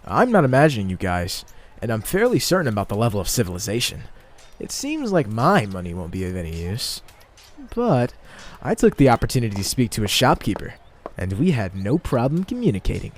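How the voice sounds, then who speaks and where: A man's voice: mid-range voice, wildly swooping pitch, brisk, a little hiss or hum. A man playing an ordinary seventeen-year-old shut-in boy in an anime-style fan dub, reasoning casually to himself. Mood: musing, analytical. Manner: casual, self-talking, reflective.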